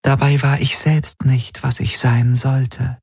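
A man voicing a sorrowful, fading female spirit who speaks softly and half in whispers to her master. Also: mid-range voice, level tone, slow, vocal fry, slightly rough, no echo, studio quality, pitched above the performer's own voice, exaggerated voice. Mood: tender. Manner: soft, pleading, partly whispered.

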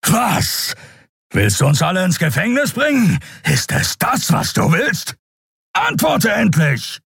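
A man's voice: sits high, wildly swooping pitch, takes it slowly, creaky, fairly bright tone, slightly rough, no echo, studio quality, pitched above the performer's own voice, full character voice. A man voicing a huge, furious clawed beast-man in an audiobook, growling and roaring threats. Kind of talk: dramatic audiobook character voice.

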